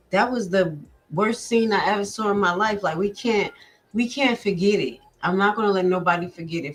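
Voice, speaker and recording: A woman vlogger giving blunt, profane commentary while reacting to a recording and talking straight to her viewers. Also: medium pitch. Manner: addresses viewers directly.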